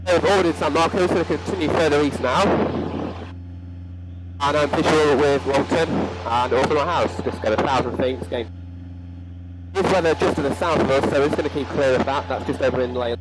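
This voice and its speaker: A man's voice: on the high side, a little fast, very noisy. A young adult man giving a running commentary as a student pilot, talking through his actions step by step. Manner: narrating own actions step by step. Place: inside small light aircraft cockpit in flight.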